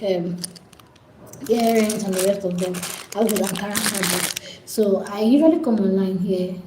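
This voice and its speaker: A woman's voice: mid-range voice, reverberant, background noise. A Nigerian woman hosting a casual live stream, pleading and repetitive as she talks straight to her viewers.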